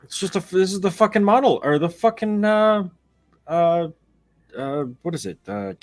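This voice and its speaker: A man's voice: sits high, expressive, normal speed. A man co-hosting a casual TV reaction chat, with dry, sarcastic and profane remarks. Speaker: co-host.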